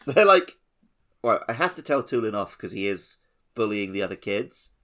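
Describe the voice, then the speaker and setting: A man's voice: lowish voice, big pitch swings, normal speed, bright, forceful tone. A man co-hosting a podcast in casual, joking conversation with a playful, wry manner. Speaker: podcast co-host.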